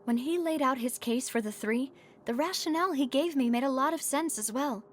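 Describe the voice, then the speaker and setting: A woman playing a poised, determined young woman in a video game, speaking in an articulate, measured way. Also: sits high, slight background noise, close to an everyday voice. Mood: pensive, resolute. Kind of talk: video game character dialogue.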